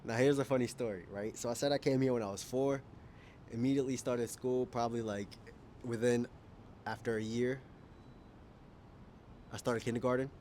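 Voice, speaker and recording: A man's voice: medium pitch, fairly even intonation, normal speed, long pauses, darkish tone, a little hiss or hum. A young man from Kenya with an American accent, talking casually to camera on a rooftop, rambling and correcting himself as he goes. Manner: rambling, self-correcting, laughs often. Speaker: vlogger. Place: rooftop outdoors.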